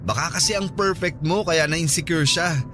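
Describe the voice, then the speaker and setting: Casting: male actor as lovestruck office friend in radio drama, fairly high voice, earnest, coaxing, clear music bed. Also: moderate pace, natural voice. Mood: flirty. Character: earnest, flirty male coworker smitten with his friend. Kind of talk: Filipino radio drama acting. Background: background music.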